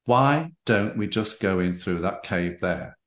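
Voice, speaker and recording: A man hosting a book interview, addressing the audience deliberately and reading aloud. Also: sits low, expressive. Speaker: podcast host. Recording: roomy, echoey sound.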